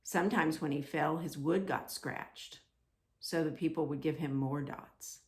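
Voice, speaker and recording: A woman reading aloud to children in an expressive storytelling style, with deliberate emphasis on simple phrases. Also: deep voice.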